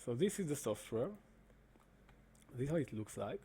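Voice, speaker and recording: Halting man with a mellow voice. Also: medium pitch, big pitch swings, husky. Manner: halting, many fillers and restarts. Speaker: presenter demonstrating software. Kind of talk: screen-recorded explanation.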